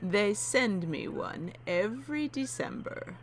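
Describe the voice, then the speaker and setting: A woman playing a polite, wary elderly widow in an audio drama, speaking slowly and carefully. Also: mid-range voice, expressive, slight background noise. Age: older. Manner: polite, wary, slow and lilting. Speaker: homeowner.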